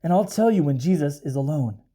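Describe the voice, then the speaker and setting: A treacherous, insinuating man from a storybook, put on by a male reader. He sounds sly and furtive, with a mellow tone and mobile pitch. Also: lively intonation, fairly quick. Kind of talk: children's storybook read-aloud.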